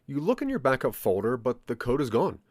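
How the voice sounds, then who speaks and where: A man's voice: medium pitch, big pitch swings, brisk, darkish tone, dry recording, clean studio sound. A man narrating a scripted audio drama in the second person.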